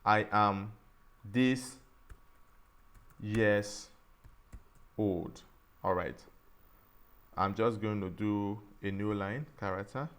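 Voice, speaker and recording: A man teaching a programming tutorial, explaining each step slowly and often restarting and saying "all right". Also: lowish voice, fairly bright tone, clean tone. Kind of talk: screen-recorded coding tutorial.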